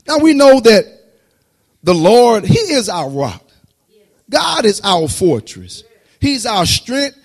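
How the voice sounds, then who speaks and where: A man preaching a sermon, reading scripture slowly before preaching with rising intensity. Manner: reads scripture slowly, then preaches rising in pitch and loudness.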